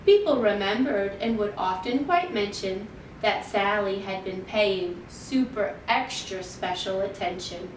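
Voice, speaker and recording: A woman reads a children's story in verse at an unhurried pace, with expressive, deliberate phrasing. Her medium-pitched voice reaches a distant microphone in an echoey room. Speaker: children's library storytime reader. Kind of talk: expressive picture-book read-aloud in rhyme. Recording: roomy, distant mic, faint noise and rumble.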